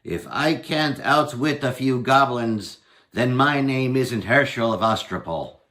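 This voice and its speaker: A bold storybook trickster acted out by a man, wry and unafraid. He talks at an unhurried pace with lively, swooping intonation. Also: mid-range voice. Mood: confident.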